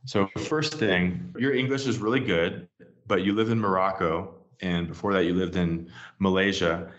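A man's voice: low voice, steady tone, moderate pace, a bit bright. A man asking questions as an interviewer in a conversational style. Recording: dull, lo-fi audio.

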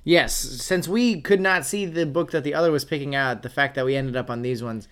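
A man's voice: mid-range voice, fairly bright tone. A man co-hosting a podcast with his wife. He chats loosely and rambles through his jokes. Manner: joking, rambling, quick asides. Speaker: podcast co-host. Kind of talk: casual chat.

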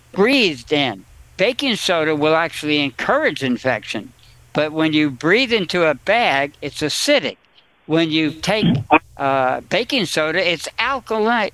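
A man's voice: on the high side, a bit bright, rough recording. A man who is a guest on a talk show, telling rambling personal stories and laughing at them. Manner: rambling anecdotal talker, laughs at own stories. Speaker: studio guest. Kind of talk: casual storytelling chat.

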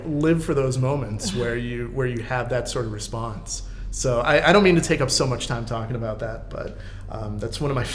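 A man, probably middle-aged, chatting casually and telling anecdotes in an animated, conversational way. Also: mid-range voice, slight background noise. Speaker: podcast guest host, community theater actor.

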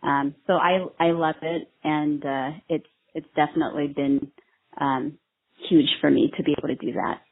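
A woman in her thirties from Vietnam answers interview questions, earnest and hesitant, with many ums. Her voice sits low and a little husky. The sound is thin and tinny, like a phone line. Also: fairly even intonation, normal speed. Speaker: microblading artist and trainer.

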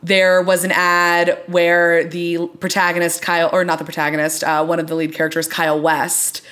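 A woman's voice: on the low side, monotone, moderate pace, a bit bright. A woman hosting a podcast, chatting casually about television in an enthusiastic, emphatic way. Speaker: podcast host. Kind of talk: casual TV discussion chat.

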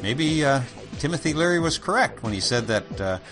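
A man's voice: a little high, lively intonation, normal speed. A man hosting a podcast, narrating thoughtfully with frequent "uh"s. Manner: thoughtful, with many fillers. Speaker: podcast host. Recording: music bed behind voice.

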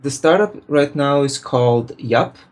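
A man from Slovenia, a programmer, answering interview questions in a relaxed way, thinking aloud and correcting himself. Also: mid-range voice, unhurried. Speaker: programmer and guest.

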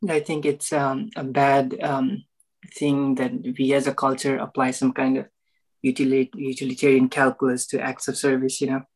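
Flat gravelly husky man from Nepal. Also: mid-range voice, moderate pace, rough recording. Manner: thoughtful, searching for words, frequent ums and rights. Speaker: newcomer at a meditation group. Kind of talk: casual group discussion.

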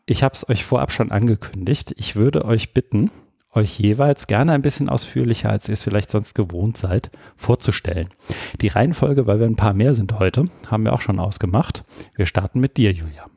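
A man's voice: fairly low pitch, moderate pace, gravelly, mellow, slightly rough, dry recording, clean studio sound. A man hosting an interview podcast, welcoming guests and guiding the introductions in a friendly, structured way. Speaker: podcast host.

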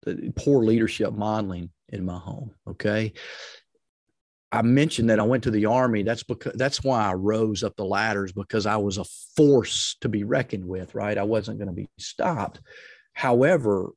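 A middle-aged man with a low, loud voice in a dry, close recording. He speaks as an interview guest who coaches on leadership. His delivery is energetic and emphatic, hammering points home by repeating phrases. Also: normal speed, dry recording. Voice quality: deep, loud voice. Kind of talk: explanatory interview talk with advice and personal stories.

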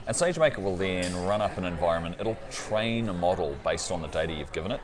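A man's voice: deep voice, lively intonation, quick pace. A man at a conference explaining technology to an interviewer, often ending with "right?". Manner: explanatory, tags sentences with "right?". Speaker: cloud company partner guest. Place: busy conference floor. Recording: crowd noise behind. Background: crowd chatter.

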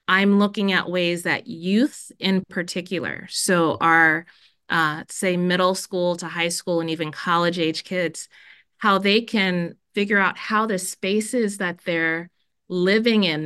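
A woman's voice: lowish voice, sharp tone, smooth, dry recording, clean studio sound. A middle-aged woman from Jamaica, a school dean, speaking earnestly in a podcast interview with many fillers.

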